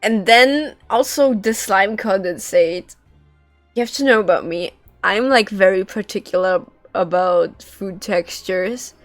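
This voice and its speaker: A woman gives casual commentary on a game stream at an unhurried pace. She is informal and expressive, recorded dry and close, with game music softly behind her. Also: medium pitch, no room sound.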